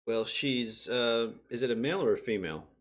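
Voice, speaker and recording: A man's voice: mid-range voice, brisk. A man talking casually as a player in a tabletop game, thinking aloud as he goes. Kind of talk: casual, spontaneous game talk.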